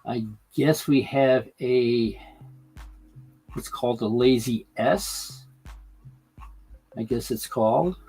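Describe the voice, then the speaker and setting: A man live streaming his hobby, talking casually and haltingly while he works on a model layout, with lots of fillers and restarts. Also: mid-range voice, warm tone, slightly rough, faint music behind, low-quality recording.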